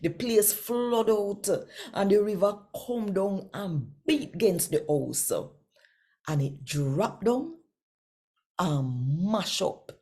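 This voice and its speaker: A Jamaican woman speaking Patois as host of a devotional scripture reading, with deliberate, emphatic phrasing. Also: mid-range voice, lively intonation, mellow, slightly rough.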